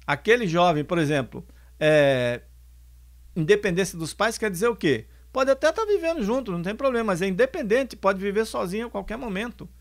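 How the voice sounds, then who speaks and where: A man in his sixties giving a calm spoken lecture on family, explaining points and repeating them, into a close microphone in a home studio. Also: sits high, a little fast. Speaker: spiritist lecturer and singer. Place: home studio, bookshelves behind. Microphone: orange foam mic on stand, close.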